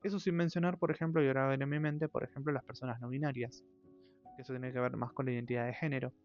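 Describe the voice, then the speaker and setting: A man with an Argentine accent, hosting his channel in a thoughtful, hesitant personal monologue, pausing often on long fillers. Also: mid-range voice, level tone. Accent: Argentine Spanish. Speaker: channel host. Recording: dull lo-fi audio with faint music.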